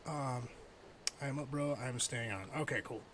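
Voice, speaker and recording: A middle-aged man streams a game in a casual, conversational way, with a mid-pitched voice. He thinks out loud as he plays and chats with viewers. There is faint noise and game ambience behind him. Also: measured pace. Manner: thinks aloud, muttering while playing and chatting with viewers. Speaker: livestreamer.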